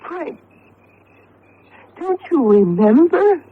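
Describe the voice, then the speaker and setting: An actress playing an anxious, devoted wife in a vintage radio mystery, earnest and hesitant. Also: female voice, high-pitched, sing-song, very slow, full character voice. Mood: urgent, questioning. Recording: tinny, hissy old radio broadcast.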